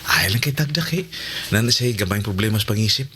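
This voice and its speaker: Friendly, animated low-voiced cousin. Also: male voice, fast talker, a little hiss or hum. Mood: friendly, explaining. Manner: animated, casual. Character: friendly, hospitable young male cousin, reassuring. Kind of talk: radio drama acting.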